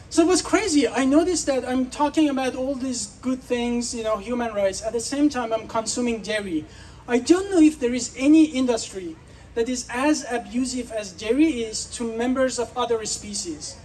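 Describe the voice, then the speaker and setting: A man's voice: extremely high pitch, normal speed, a little mellow, recorded from a distance, a little hiss or hum, rough recording. A man from Iran giving an earnest, persuasive speech at an outdoor festival, putting questions to the crowd. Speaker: speaker.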